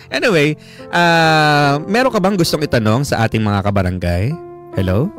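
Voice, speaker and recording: A man's voice: on the high side, animated, normal speed, fairly bright tone. A man with a Filipino accent hosting a casual radio chat from a studio. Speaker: radio host. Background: music.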